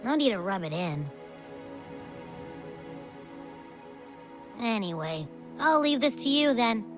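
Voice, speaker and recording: A playful young female companion from a video game. Her high voice bounces around with lots of variation. She sounds breezy, casual and slangy, with music clearly playing behind her. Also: very animated, moderate pace. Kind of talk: animated video game voice acting.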